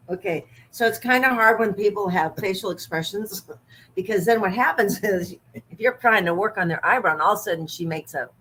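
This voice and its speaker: A woman, talking casually through a makeup demonstration with lots of laughter and gentle instructions. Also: mid-range voice, normal speed, long pauses, husky, rough recording. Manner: chatty, giggly, gently bossy. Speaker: makeup artist.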